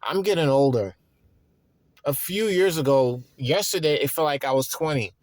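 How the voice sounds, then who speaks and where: A man in his thirties, tired and stressed, talking in a rambling, halting way with frequent restarts in a reflective personal monologue. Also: fairly high pitch, a little mellow, no echo. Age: 30s. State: tired and worn out. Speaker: vlogger.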